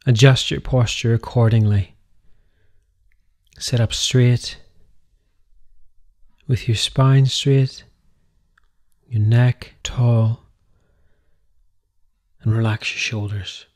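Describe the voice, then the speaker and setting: Male meditation guide, mid-pitched voice, gentle instructional delivery, short phrases with space between them. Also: steady tone, extremely slow, pauses often.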